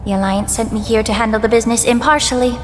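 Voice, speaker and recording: An actress playing a poised young woman alchemist in a game, speaking in a deliberate, analytical way. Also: a little high, steady tone, close to an everyday voice. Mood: guarded. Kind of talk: voiced game dialogue. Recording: reverberant game mix with music. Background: orchestral game music.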